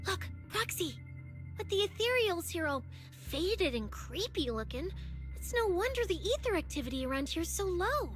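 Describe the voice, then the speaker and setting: A woman playing a brash, boastful young public security officer in a video game, cocky, excitable and chatty. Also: very high voice, moderate pace, soft background music. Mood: casual, upbeat.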